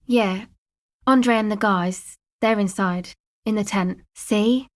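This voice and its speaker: A young woman from a video game, played by an actress, streetwise and guarded. She talks in a loose, slangy way with a dry, offhand delivery and a middling pitch. She comes across as a laid-back slacker who keeps people at a distance. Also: slow, natural voice. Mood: casual, guarded. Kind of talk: video game character dialogue, conversational.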